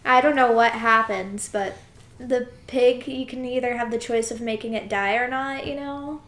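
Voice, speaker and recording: A woman's voice: on the high side, fairly even intonation, moderate pace, a bit bright. A woman co-hosting a podcast, chatting casually and telling anecdotes in a giggly way. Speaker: podcast co-host. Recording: roomy echo with faint noise.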